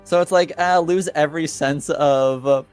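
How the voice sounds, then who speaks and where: A man casually explaining things to his players as game master, halting and repeating words, with some swearing. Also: on the high side, steady tone, darkish tone, clear, resonant tone, soft background music. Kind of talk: casual explanatory group chat.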